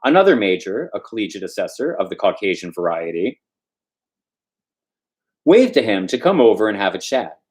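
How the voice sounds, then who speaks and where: Animated male storyteller, loose delivery. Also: on the low side, moderate pace, long pauses. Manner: loose, stumbling over words, with asides. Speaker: storyteller, show host. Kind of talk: informal story reading.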